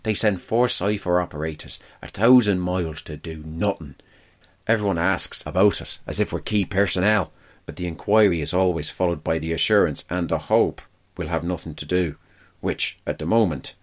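A man's voice: deep voice, moderate pace. A man reading a first-person wartime diary aloud as scripted narration.

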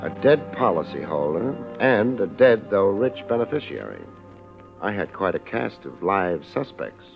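A hard-boiled private investigator from 1950s radio. His lowish voice swings expressively through wry, slangy lines. The recording is muffled vintage broadcast audio with music behind it. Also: sing-song, a little slow, vocal fry, exaggerated voice. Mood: brooding narration. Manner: wry, sardonic, clipped slang. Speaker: lead actor and narrator of a detective series. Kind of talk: 1950s radio detective drama acting and narration.

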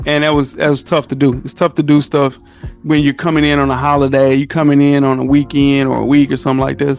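A man with an American accent speaks in a somewhat high register for a male voice. He chats casually as a podcaster, in loose slang, repeating phrases for emphasis over faint music. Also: creaky. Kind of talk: casual chat.

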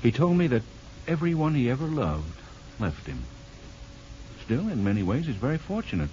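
Kindly male doctor, measured, mellow, expressive, vintage radio drama, dull noisy recording. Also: mid-range voice. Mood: calm, explanatory. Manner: measured, explanatory, gently probing. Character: calm, kindly male military hospital doctor. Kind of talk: 1950s radio drama acting. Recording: muffled, noisy old radio broadcast.

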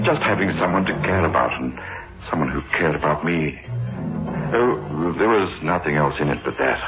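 An actor playing an anxious, protective middle-aged bachelor guardian in an old radio drama, with a hesitant, earnest, brooding delivery. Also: male voice, very deep voice, gravelly, full character voice. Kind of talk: 1960s radio drama acting with confessional narration. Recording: dull old radio recording with music.

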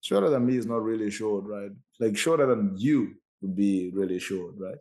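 A man with a fairly low, warm and relaxed voice, co-hosting a casual podcast debate. He talks things through as he goes, restarting and hedging his points. His tone stays laid-back and conversational. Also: moderate pace. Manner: hesitant, restarting, reasoning aloud. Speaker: podcast co-host.

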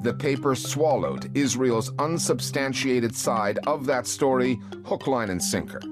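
Male presenter, scripted news narration, wry and pointed, lively intonation, music bed beneath. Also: mid-range voice, measured pace.

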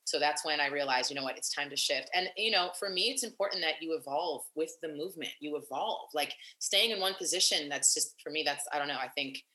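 A young woman activist talking in an interview, animated and long-winded with many fillers and restarts. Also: sits low, a little fast, few pauses, reverberant, poor audio. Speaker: activist and nonprofit founder. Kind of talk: casual interview conversation.

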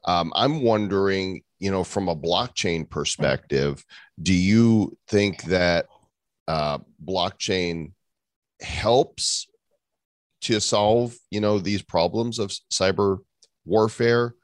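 Male host, very deep voice, dry close sound, rambling questions. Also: slow pace, no room sound. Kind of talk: casual podcast conversation.

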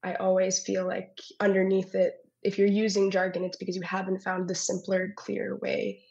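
A woman's voice: mid-range voice, completely flat delivery, moderate pace, creaky, darkish tone, muffled recording. A woman writer speaking reflectively in a panel conversation.

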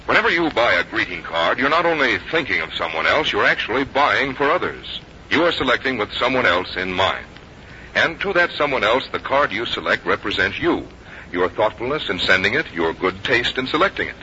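An American man working as a radio announcer, reading scripted 1950s copy in a polished, measured way. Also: fairly low pitch, big pitch swings, sharp tone, a little hiss or hum. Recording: dull vintage radio recording with music.

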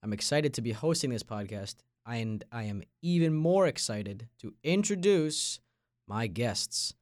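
A man's voice: mid-range voice, animated, unhurried, a little mellow, no room sound. Young male podcast host, dry close sound. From Long Island, New York. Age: late teens. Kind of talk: scripted intro and casual interview.

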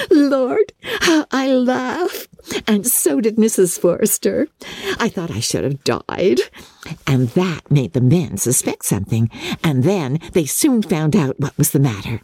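A woman's voice: lowish voice, dark tone, slightly rough, no echo, clean studio sound, pitched above the performer's own voice, heavily acted. A woman voicing a giddy, boastful teenage girl from a period novel, gushing and exclaiming in a dramatic audiobook reading. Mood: amused.

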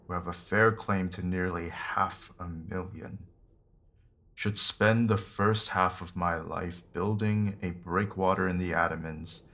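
Man playing a very deep-voiced, bitter captured criminal. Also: gravelly, fairly bright tone, a little hiss or hum, exaggerated voice. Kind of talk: dramatised mystery audio drama.

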